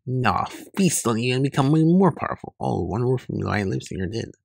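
A blustering, arrogant demon from a webcomic, read out by a man. The voice is gravelly and husky, swinging widely in pitch as it boasts of its power. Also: animated, brisk, no room sound, clean studio sound. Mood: gloating. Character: arrogant, easily shocked demon hungry for power.

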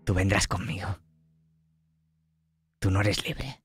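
A man's voice: sits low, takes it slowly, husky, soft background music, exaggerated voice. Man voicing an ancient scornful goddess hag. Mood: menacing. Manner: contemptuous, menacing. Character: ancient mummy-like goddess, old woman, scornful.

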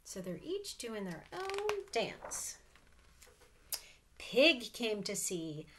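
High, lilting woman reading to kids. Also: extremely slow, noisy background. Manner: expressive, sing-song, asking the listeners questions. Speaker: aunt reading a picture book to children. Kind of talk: animated read-aloud storytelling with casual asides. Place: small room at home with sloped ceiling.

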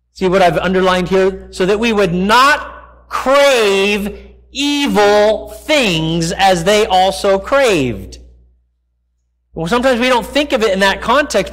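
American guy preaching a sermon, pretty high voice, chatty explaining style w lots of asides. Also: a bit bright. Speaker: pastor. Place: large church sanctuary, speaking from the stage.

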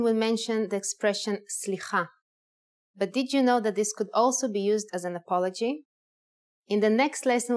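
A woman's voice: mid-range voice, a little mellow. A young woman presenting a scripted language lesson in an instructional manner. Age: young adult. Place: indoor studio.

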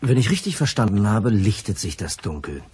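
An actor playing a smug, sardonic detective agency boss in a crime radio play, condescending in manner. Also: male voice, lowish voice, big pitch swings, a little fast, darkish tone, breathy edge, exaggerated voice.

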